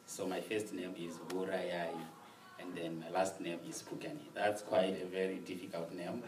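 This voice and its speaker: A young man from Zimbabwe, speaking softly and with measured pacing as a guest telling his story, often laughing. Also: low-pitched, level tone, background noise. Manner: soft-spoken, measured, laughs easily. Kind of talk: conversational storytelling interview. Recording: roomy sound from a distant mic.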